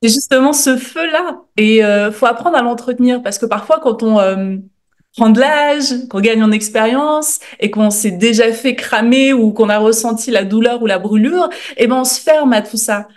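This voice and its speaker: High-voiced French woman in casual interview. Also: normal speed. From France. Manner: informal, reflective, often checking with "tu vois". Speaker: podcast guest, journalist and author.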